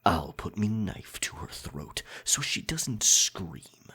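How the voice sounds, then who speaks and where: A sly, domineering pirate captain for roleplay ASMR. His low voice is very husky and raspy, and he talks slowly and theatrically, with menace and dark amusement. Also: big pitch swings, full character voice. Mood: pleased, conspiring. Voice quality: raspy, gravelly. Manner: slow, deliberate, theatrical pirate talk.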